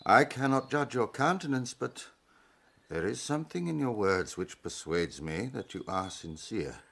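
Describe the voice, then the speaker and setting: A man's voice: fairly low pitch, lively intonation, measured pace, gravelly, fairly bright tone, exaggerated voice. An actor playing a kindly, hospitable old blind man in an audiobook drama, courteous and formal in manner. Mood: gentle, reflective.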